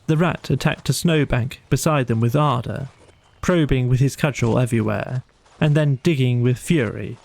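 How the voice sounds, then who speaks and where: A man's voice: mid-range voice, creaky, darkish tone, low-quality recording. A man reading a children's bedtime story as scripted audiobook narration.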